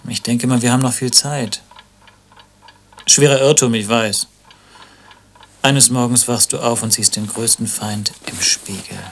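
A man's voice: medium pitch, a little slow, pauses often, fairly bright tone. An actor playing a neurotic, hesitant, cerebral man in a German radio play, speaking in an intimate, stilted intellectual way. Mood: brooding.

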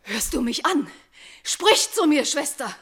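Anxious high-voiced young princess, pleading. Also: female voice, sing-song, unhurried, fairly bright tone, full character voice. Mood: desperate pleading. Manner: pleading, breathless, fearful, rising into desperate outcry. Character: anxious, trapped young princess yearning for life and children. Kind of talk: classical German stage-tragedy radio acting.

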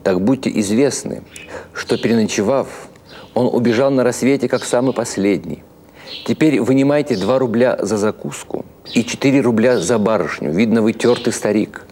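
A man voicing a stern retired-soldier watchman in a storybook reading, sardonic and gruffly threatening. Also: mid-range voice, normal speed, darkish tone, a little hiss or hum, natural voice. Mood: sardonic, demanding. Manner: sardonic, menacing.

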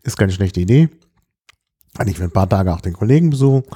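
A middle-aged man chatting casually about his travels, hesitant and often clearing his throat. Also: low voice, animated, fast, warm tone. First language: German. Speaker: podcast guest telling about his trip. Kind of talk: casual chat, travel anecdotes.

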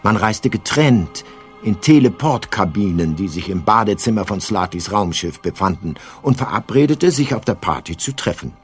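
Low-voiced man, dryly ironic narrator. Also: lively intonation, husky, soft background music. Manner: measured, dryly ironic, literary. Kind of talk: scripted narration for a comic science-fiction radio play.

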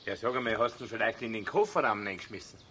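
A man's voice: on the low side, expressive, quick pace, sharp tone, slight background noise. A man playing an impatient, bossy Bavarian fellow in a radio play, grumbling in exasperation with a Bavarian accent. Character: irritated, impatient, bossy Bavarian day-tripper. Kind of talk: German radio play acting.

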